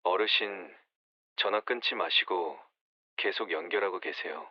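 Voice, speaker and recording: A man voicing a calm, formal emergency dispatcher heard over the phone in an audio drama. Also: low voice, measured pace, breathy edge, no room sound. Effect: phone line. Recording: dull phone-line sound.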